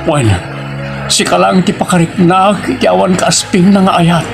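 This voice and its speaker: A wounded young lover played by a male actor questions and insists with intensity. His voice is fairly high, with an Ilocano accent from the Philippines, set over clear dramatic music. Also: flat delivery, a little slow. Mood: insistent. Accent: Filipino Ilocano. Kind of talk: Ilocano radio drama acting. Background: prominent music.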